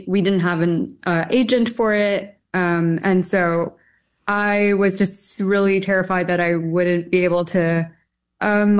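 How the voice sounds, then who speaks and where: A woman's voice: mid-range voice, smooth. A woman from Taiwan talking conversationally as a literary translator being interviewed.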